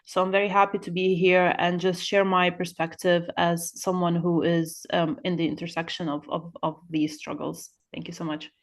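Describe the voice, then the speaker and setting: A woman in her thirties introducing herself on a panel over a video call. She speaks haltingly and restarts her sentences often. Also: on the low side, flat delivery. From Ukraine. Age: 30s. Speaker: panelist, researcher.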